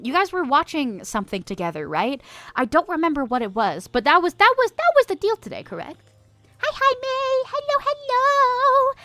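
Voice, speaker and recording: A bubbly young woman streamer chats with her viewers, her fairly high voice bouncing all over in pitch. She giggles often and stretches her greetings. The sound is dry and close, with no room around it. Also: fairly bright tone, no echo. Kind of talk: casual livestream chat reading viewer messages.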